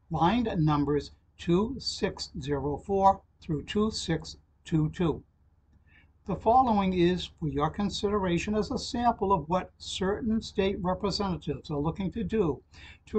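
An older man speaks in a fairly high, husky voice, delivering a prepared alert in a formal, measured way. He slows down to read out numbers and web addresses, recorded at a desk in a small office. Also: poor audio. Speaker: channel host giving a legislative alert. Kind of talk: prepared informational address to camera.

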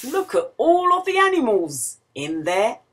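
A woman reading a picture book aloud to young children in an expressive, questioning storytelling manner. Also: on the high side, unhurried, a little hiss or hum. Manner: expressive, exclamatory, asks the listener questions.

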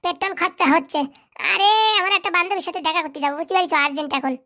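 A woman's voice: very high-pitched, bright, forceful tone, exaggerated voice. A woman voicing a cocky, bossy village boy who schemes and talks people round. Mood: wheedling. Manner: bossy, fast-talking, wheedling. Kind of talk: comic cartoon voice acting.

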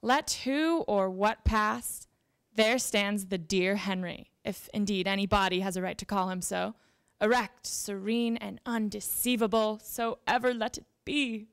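Creaky-voiced young woman reading aloud. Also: mid-range voice, fairly even intonation, measured pace. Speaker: reader at a literary event. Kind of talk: dramatic reading of historical letters. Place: library event room with seated audience. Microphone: handheld microphone at a lectern.

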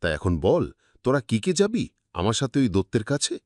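An excitable young villager from a cartoon, acted by a man, talks in exclamations and banter. The pitch is medium but leaps around a lot, and the sound is dry. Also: normal speed, dry recording, lightly acted. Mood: bantering, anxious. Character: village youths among friends, excitable and animated.

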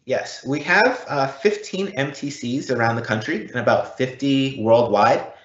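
A man's voice: medium pitch, expressive, unhurried, fairly bright tone. A man presenter giving technical explanations and answering audience questions in an online session. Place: indoor room, live online event. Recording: dull, roomy online call audio.

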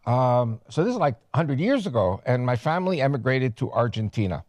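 A man's voice: mid-range voice, big pitch swings, a little mellow, dry recording. Older man, dry studio sound. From United States. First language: Spanish. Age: 70s. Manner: rambling, halting with many fillers. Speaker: guest. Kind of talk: interview.

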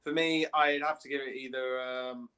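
A young man co-hosting a podcast on a video call, chatting casually and haltingly with plenty of filler. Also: medium pitch, fairly even intonation, bright, forceful tone. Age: young adult. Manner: halting, peppers speech with "like". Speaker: podcast co-host. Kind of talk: casual chat. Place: indoor room, video call.

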